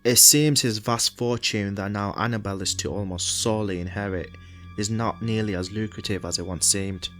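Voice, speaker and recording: An earnest junior partner in a detective pair, played by a man. He is courteous and questioning, with a fairly low voice over soft music in an audio drama. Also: fairly even intonation, normal speed, lightly acted. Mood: polite. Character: polite, earnest male detective, sidekick to an older sleuth. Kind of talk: podcast audio drama acting.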